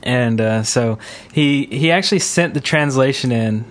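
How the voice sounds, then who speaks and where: Halting, casual man hosting a podcast. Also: medium pitch. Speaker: podcast host. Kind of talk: casual panel chat.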